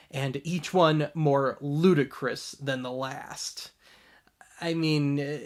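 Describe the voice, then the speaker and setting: A man hosting a show in a casual, wry commentary style. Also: fairly high pitch. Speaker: host of a show about old tapes.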